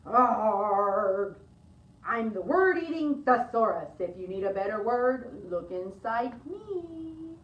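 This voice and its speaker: Prehistoric storybook beast, voiced by a female reader: boisterous, theatrical, exclamatory, high and echoey. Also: big pitch swings, slow pace, muffled recording, slight background noise. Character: playful, boisterous roaring and screeching prehistoric creatures.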